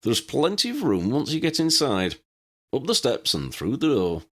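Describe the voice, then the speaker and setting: A man's voice: medium pitch, huge pitch swings, normal speed, fairly bright tone, voice pushed up. A man voicing a short, tremulous old wizard pilot, fussy and obliging, in a storybook reading. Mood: obliging, chatty. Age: older. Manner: chatty, repeats himself.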